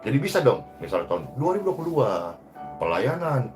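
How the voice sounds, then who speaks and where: A man preaching a sermon as a pastor, exhorting emphatically and moving between loud rises and hushed phrase endings. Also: medium pitch, big pitch swings, fairly quick, music in the background.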